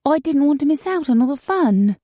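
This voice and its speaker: An excitable, dreamy young woman, acted by a female narrator in a storybook tale. Her voice is lifted high and swings widely as she exclaims in wide-eyed wonder, close and dry on the recording. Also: brisk, no room sound. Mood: eager.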